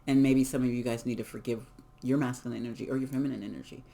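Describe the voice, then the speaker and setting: A card reader giving a casual collective reading, hoarse from losing their voice, talking in an emphatic, encouraging way with many fillers. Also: medium pitch, fairly even intonation, quick pace, dark tone. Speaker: tarot and oracle card reader.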